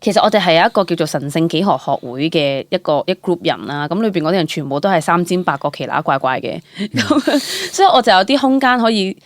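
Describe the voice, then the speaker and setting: A young woman with a Hong Kong Cantonese accent shares stories as an actor being interviewed. Her voice sits low for a woman, and her telling is chatty and expressive, sprinkled with English words. The sound is close and dry. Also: fast, few pauses, dry recording. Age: young adult. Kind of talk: casual interview storytelling.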